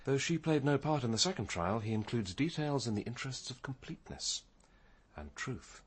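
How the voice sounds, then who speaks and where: An actor playing a thoughtful senior police detective in a radio crime drama, measured and deliberate. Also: male voice, mid-range voice, expressive, breathy edge. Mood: intent, explanatory. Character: methodical, thoughtful senior police detective, male, quietly probing.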